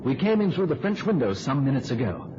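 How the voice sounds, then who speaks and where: An actor playing a brisk, commanding newspaper reporter in an old-time radio drama. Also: male voice, mid-range voice, sing-song, lightly acted. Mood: commanding, triumphant. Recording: noisy, muffled old radio recording.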